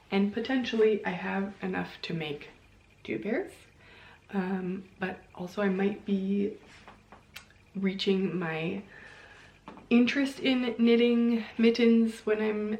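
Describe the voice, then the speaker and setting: A woman hosts a casual vlog in a roomy, echoing space. Her voice is marked by noticeable creak and fry. She thinks aloud as she talks, wandering a little and correcting herself along the way. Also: medium pitch, a little mellow, highs cut off. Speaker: knitting vlogger and host. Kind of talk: casual chat to camera. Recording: roomy, echoing indoor sound.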